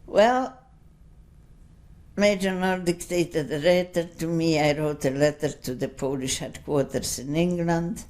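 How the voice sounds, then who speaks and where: A woman in her seventies giving an oral history testimony, speaking English as a second language in a slow, rambling, anecdotal way full of asides. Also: low-pitched, steady tone, a little mellow. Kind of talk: unscripted recollection.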